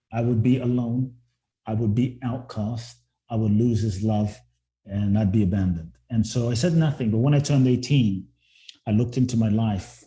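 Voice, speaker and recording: A man's voice: medium pitch, mellow. A man, a guest speaker telling his story in an earnest, reflective way. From born in Ghana, grew up in London. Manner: earnest, reflective, repeats words when starting sentences. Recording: roomy echo.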